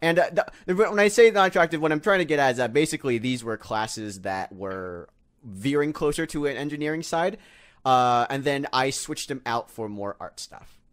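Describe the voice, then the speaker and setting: A man's voice: mid-range voice, animated, normal speed. A man in his twenties chatting casually as a podcast co-host, rambling and joking at his own expense. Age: 20s. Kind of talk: casual group chat.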